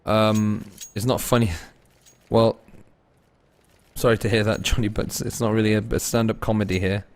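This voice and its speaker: A young man casting an esports match, laid-back and tired between plays, rising to fast, excited calls when the action heats up. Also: on the low side. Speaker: esports caster. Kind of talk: live esports commentary mixed with chatting to viewers.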